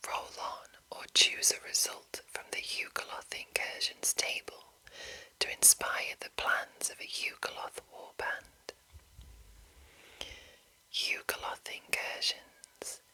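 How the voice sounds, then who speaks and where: A woman whispering in a breathy, unvoiced voice as an ASMR host, with careful, gentle enunciation. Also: low-quality recording. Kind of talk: whispered ASMR, reading and chatting.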